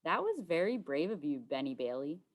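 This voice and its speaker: A woman's voice: low-pitched, sing-song, normal speed. A woman voicing a sarcastic, secretive young schoolgirl in a children's storybook, with a curt manner. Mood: quiet, softened. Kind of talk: children's storybook read-aloud.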